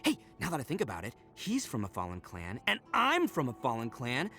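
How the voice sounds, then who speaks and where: An actor playing a brash, goofy young horned oni gang leader in a video game, boisterous, boastful and slangy, with theatrical swings. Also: male voice, mid-range voice, wildly swooping pitch, brisk, pushed and bright, faint music behind, heavily acted. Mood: impressed, excited. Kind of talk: video game character voice acting.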